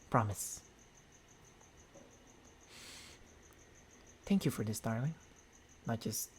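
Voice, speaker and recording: A man voicing a gentlemanly, tender vampire boyfriend in an intimate ASMR roleplay, speaking haltingly into a close binaural microphone with formal endearments and a husky, breathy voice. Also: mid-range voice, a little hiss or hum, natural voice. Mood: reluctant, tender.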